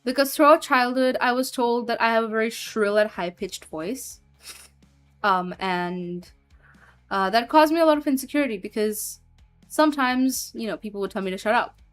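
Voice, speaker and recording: A woman's voice: fairly high pitch, moderate pace, soft background music. A woman streamer chatting casually with her viewers and reading chat aloud, giggling often and trailing off with fillers. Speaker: streamer and hobby voice actor.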